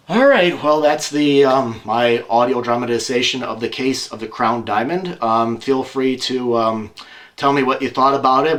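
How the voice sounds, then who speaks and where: A man narrates a homemade audio drama in a mid-range voice. His delivery is informal and a little halting, with animated rises and falls. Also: mid-range voice. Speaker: narrator and solo performer.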